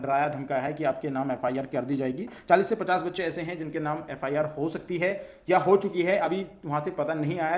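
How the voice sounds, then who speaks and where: Fast-talking, higher-pitched man. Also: a little mellow. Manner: repetitive, question-and-answer explaining. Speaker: host of an exam news channel for students. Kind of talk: explanatory news update addressed to students.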